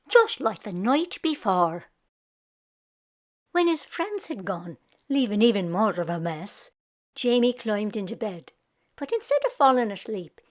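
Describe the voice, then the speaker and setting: Female children's storyteller, expressive read-aloud narration, slightly high register.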